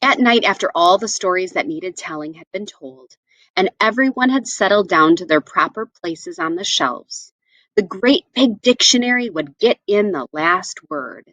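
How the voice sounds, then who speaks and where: A woman tells a children's story in an expressive read-aloud style. Her pitch moves freely and animatedly as she shapes each phrase with care. The sound is dry, with no room echo. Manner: expressive, with deliberate phrasing and asides to listeners.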